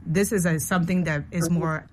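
A woman with a notably low voice, speaking as the organizer of a fashion masterclass. She explains things at length in a considered, conversational way over faint background noise. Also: steady tone, normal speed. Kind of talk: casual interview.

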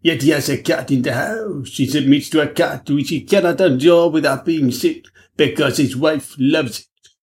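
A man's voice: a little high, moderate pace, close to an everyday voice. A man reading an audiobook voices a shrewd detective who questions insistently. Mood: probing.

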